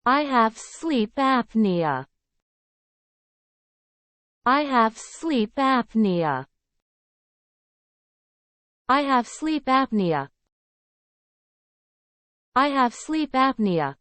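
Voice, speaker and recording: A woman reads English phrases slowly and evenly, each word placed with care. Her voice sits a little high. The sound is dry and close. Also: sing-song, fairly bright tone, no echo. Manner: slow, careful, evenly spaced. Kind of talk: slow repeated phrase drills.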